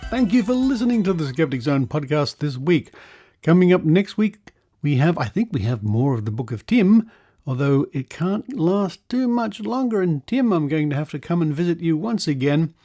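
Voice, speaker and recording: A man's voice: fairly high pitch, expressive, normal speed, mellow, faint music behind. Chatty middle-aged Australian man. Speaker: podcast host. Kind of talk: casual podcast presenting.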